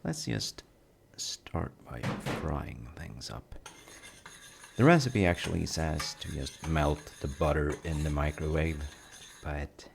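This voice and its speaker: A man plays a cozy, playful dad in an audio roleplay. His voice is extremely low with a gravelly creak, and he muses softly and reassuringly, as if to someone right there. Kitchen noise of sizzling and stirring runs behind him. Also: animated, slow, full character voice. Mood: cozy, musing. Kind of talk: intimate audio roleplay, addressing the listener. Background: frying sizzle and kitchen sounds.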